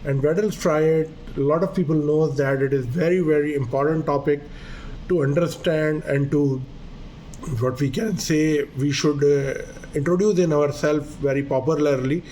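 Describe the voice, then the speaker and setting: Earnest hesitant doctor giving a lesson. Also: male voice, a little high, steady tone, normal speed, dark tone, slight background noise, low-quality recording. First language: Urdu. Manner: hesitant, earnest, repeats phrases with fillers. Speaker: doctor presenting a medical video lesson. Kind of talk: medical lecture.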